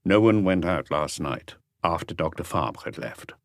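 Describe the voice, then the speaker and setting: A man voicing a male chief inspector in an audiobook, terse and deliberate as he puts probing questions. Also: low-pitched, lively intonation, creaky, dry recording, studio quality, voice pushed down. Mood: quiet, probing. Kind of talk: audiobook character dialogue.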